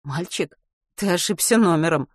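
A stern adult woman in an audiobook, played by a female narrator, speaking in a lowish, controlled voice. She is cool and dismissive, and the sound is dry. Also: expressive, normal speed, no room sound. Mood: stern, detached.

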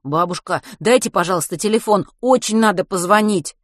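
An indignant teenage boy from an audiobook, acted by a woman, pitched high and raised in volume. He is impatient and blurts out questions and exclamations in a dry, close recording. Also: very animated, a little slow, a bit bright, dry recording. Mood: indignant, frantic.

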